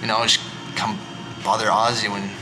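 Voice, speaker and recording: A man's voice: lowish voice, flat delivery, normal speed. A young man chatting casually as a podcast guest in a bike shop, rambling with restarts. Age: young adult. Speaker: guest, collegiate cyclist. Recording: noisy shop background. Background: shop noise, people working on bikes.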